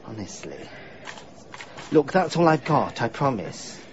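A man's voice: medium pitch, sing-song, a little slow, highs cut off, slight background noise. An actor playing a polite, babbling, fussy PR man in a radio drama. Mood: polite, flustered. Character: chatty, tactless, harmless male PR executive.

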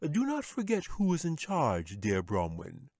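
A man's voice: fairly high pitch, wildly swooping pitch, measured pace, vocal fry, darkish tone, no room sound, voice pushed up, full character voice. A man voicing a smug, haughty male wizard ruler who speaks in a condescending, imperious way.

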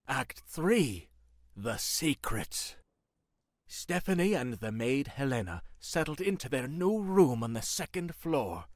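A man narrates a horror tale in a dramatic, scripted style. His delivery is animated, with a lot of movement in a medium-pitched voice. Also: no echo, clean studio sound.

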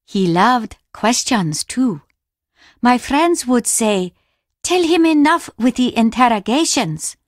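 A reserved, grieving older Japanese mother who keeps an inn. Her voice sits a little high and she speaks very slowly, with formal, measured restraint and stern pride. The sound is dry and free of room echo. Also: lively intonation. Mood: wistful. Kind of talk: video game character acting.

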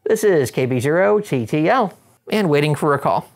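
A man's voice: a little high, expressive, moderate pace. Middle-aged man, American accent, instructional presenter, procedural radio operator delivery, indoor studio. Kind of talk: instructional demonstration with casual radio chat. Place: indoor studio with wood-panel backdrop.

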